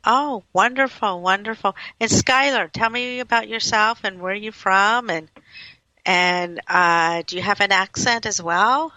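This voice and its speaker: Female radio host, bright and forceful, dry lo-fi sound, effusive. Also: mid-range voice, unhurried, no echo. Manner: effusive, rambling praise. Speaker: radio talk show host. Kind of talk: casual radio interview. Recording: dull, lo-fi radio audio.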